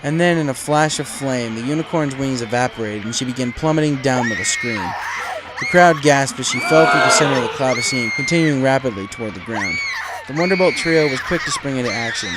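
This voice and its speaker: A man's voice: medium pitch, a little fast, very noisy. A man reading a story aloud as dramatic scripted narration. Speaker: fan fiction reader. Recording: music under the voice.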